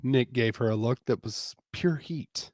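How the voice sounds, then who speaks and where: A man's voice: mid-range voice, unhurried, darkish tone, dry recording, clean studio sound. A man narrating a romance story as a scripted dramatic reading.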